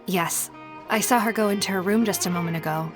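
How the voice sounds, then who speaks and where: A woman acts out a polite adult villager in a video game. Her mid-range voice is helpful and courteous, with clear plucked-string music playing underneath. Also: medium pitch, a little fast, close to an everyday voice. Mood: welcoming. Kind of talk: video game character acting.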